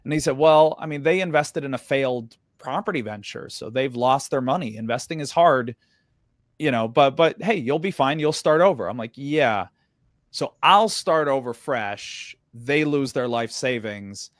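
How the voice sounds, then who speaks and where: Male interview guest, American accent, animated storyteller, mid pitch, dry studio sound. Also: dry recording. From Sweden. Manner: animated storyteller, acts out dialogue with quick jumps in pitch.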